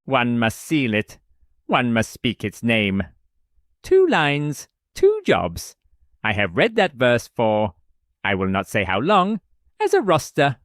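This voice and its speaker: An actor as a weary, precise old man, swinging pitch. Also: wildly swooping pitch, fairly bright tone, no room sound, studio quality, heavily acted. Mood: grave, insistent. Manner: deliberate, formal, clipped declarations. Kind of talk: dramatic audio fiction dialogue.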